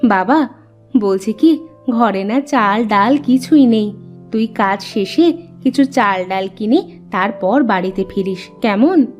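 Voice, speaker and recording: A woman voicing a caring village mother in a cartoon, with gentle, homely talk. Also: a little high, expressive, takes it slowly, muffled recording, soft background music. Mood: gentle instructing.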